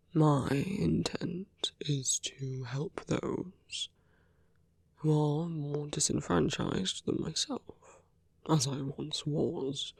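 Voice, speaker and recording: Man acting a breathy, suave villain. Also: on the high side, darkish tone, dry recording, studio quality, heavily acted. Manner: measured, rhetorical, lapsing into breathy near-whispers. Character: suave male villain, persuasive, teasing, self-justifying. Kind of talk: ASMR roleplay audio drama.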